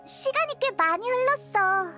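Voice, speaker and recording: Tiny, extremely high-pitched fairy companion. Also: female voice, expressive, measured pace. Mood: eager. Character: small excitable childlike fairy companion, female. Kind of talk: video game character dialogue. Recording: music behind the voice. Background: game music.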